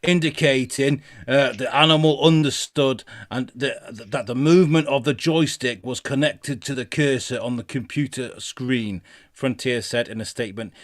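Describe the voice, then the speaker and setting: Male comedy podcast host, mid-pitched, casual, halting reader with a sweary streak. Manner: halting read-outs, sweary asides. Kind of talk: casual comedy news chat, reading stories aloud.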